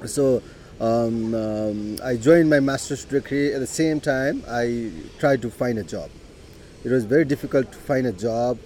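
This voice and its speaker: A middle-aged man with a Nepali accent, telling his life story in an unhurried way, with frequent "you know" and throat clearing. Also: medium pitch, darkish tone, a little hiss or hum. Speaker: interviewee. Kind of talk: personal life story told in conversation.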